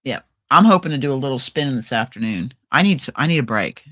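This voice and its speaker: Livestream co-host, woman, low but bright voice, lively chatter, dry close mic. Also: fast talker, no echo. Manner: chatty, animated, trails off and restarts. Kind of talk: casual chat. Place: home livestream.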